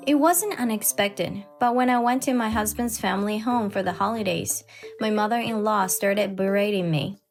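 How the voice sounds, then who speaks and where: A woman's voice: medium pitch, normal speed. A woman reading scripted first-person story narration as a put-upon wife, with music underneath. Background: background music.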